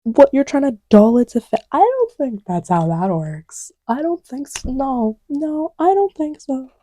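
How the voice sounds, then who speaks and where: A woman playing a playful, distracted young girlfriend in an intimate ASMR roleplay, murmuring in a halting, teasing way. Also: high voice, sing-song, dark tone, heavily acted. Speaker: audio roleplay voice actor.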